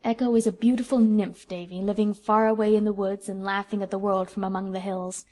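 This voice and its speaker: A woman's voice: mid-range voice, level tone, brisk, natural voice. Reader as a romantic young woman, lyrical and effusive. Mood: gentle. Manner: lyrical, warm and gushing.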